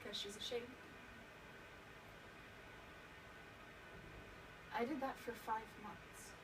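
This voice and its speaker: A woman acting a haunted young survivor of abuse in a dramatic stage monologue, halting and emotional, repeating words for emphasis. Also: fairly high pitch, fairly even intonation, close to an everyday voice. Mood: subdued, reflective. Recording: distant mic, clearly noisy room recording.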